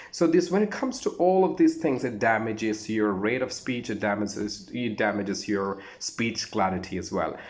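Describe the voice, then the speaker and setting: Indian man teaching a speech lesson. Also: mid-range voice. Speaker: voice and accent trainer. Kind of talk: informal spoken lesson.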